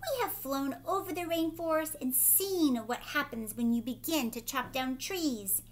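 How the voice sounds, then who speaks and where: A loud, stern toucan from a storybook squawks its warning at a man in a raised, high voice, with a woman putting it on. The delivery is slow and forceful.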